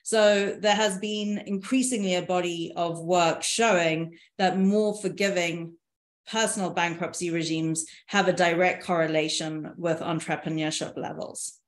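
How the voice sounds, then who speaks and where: A woman in her thirties presenting slides on a video call, in a measured, explanatory way. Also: fairly low pitch, steady tone. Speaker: panelist presenting on insolvency reform. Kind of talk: presentation over shared slides. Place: indoor room, video call. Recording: echoey room on a video call.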